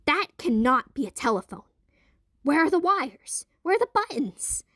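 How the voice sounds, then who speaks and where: A woman's voice: sits high, very animated, moderate pace, sharp tone, dry recording, clean studio sound, full character voice. A woman voicing a timid, old-fashioned boy ghost who is easily baffled, in an audio drama. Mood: baffled, incredulous.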